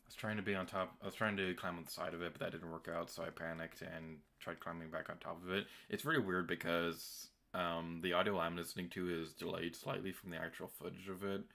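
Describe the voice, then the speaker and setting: A young man with a deep, gravelly voice talks casually to his stream as he plays. His tone is bright and forward despite the low pitch, and he rambles with fillers and pokes fun at himself. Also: level tone, fairly quick, hardly any pauses. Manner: chatty and self-deprecating, with filler words and laughs. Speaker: game streamer. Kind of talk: casual gameplay commentary.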